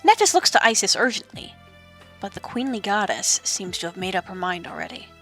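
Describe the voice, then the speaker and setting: Expressive woman storyteller over music. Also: mid-range voice, a little fast. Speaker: storyteller, narrator. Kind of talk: scripted dramatic narration of a mythological audio drama.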